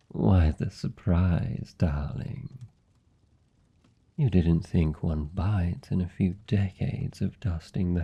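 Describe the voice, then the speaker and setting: A man playing a haughty, seductive vampire lord in a fantasy ASMR roleplay, with a British accent, a low gravelly husky voice and a deliberate, teasing, condescending delivery. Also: highs cut off, exaggerated voice. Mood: amused condescension. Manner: slow, deliberate, aristocratic, teasing.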